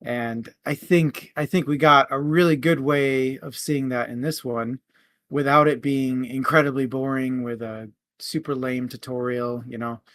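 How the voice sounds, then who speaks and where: A man's voice: medium pitch, fairly even intonation, a little slow, a bit bright, dry recording. A man hosting a casual book discussion in a conversational, explanatory manner. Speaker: podcast host.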